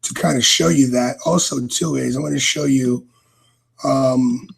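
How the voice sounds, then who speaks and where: A man's voice: medium pitch, fairly even intonation, unhurried, vocal fry, slight background noise, poor audio. A man from New York with a New York accent, rambling animatedly in a casual chat as a podcast guest.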